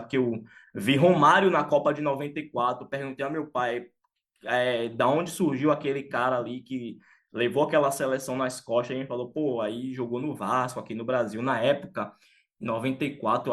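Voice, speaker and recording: A man from Salvador, co-hosting a casual sports podcast and talking with many fillers and restarts. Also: mid-range voice. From Salvador, Bahia, Brazil. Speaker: podcast co-host.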